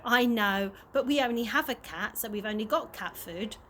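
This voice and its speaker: A woman's voice: a little high, moderate pace, sharp tone. A woman voicing a kind, polite, slightly nervous young girl in a storybook reading, with some noise on the recording. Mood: apologetic, helpful. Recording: noisy amateur home recording.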